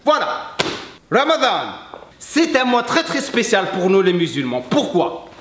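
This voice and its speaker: A man, a French speaker, talking earnestly to camera as a fitness coach, emphatic and halting, slipping between French and Arabic. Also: sits high, wildly swooping pitch, noisy background. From Algeria. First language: English. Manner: emphatic, halting non-native French with repeats. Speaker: fitness coach and video host. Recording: echoey room.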